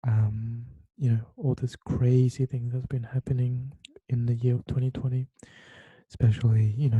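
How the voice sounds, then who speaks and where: A man's voice: mid-range voice, dark tone, breathy edge, no room sound. A young man from Taiwan hosting a solo podcast from his bedroom, talking hesitantly with many fillers and restarts. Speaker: podcast host. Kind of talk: solo late-night podcast monologue. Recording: dull lo-fi sound.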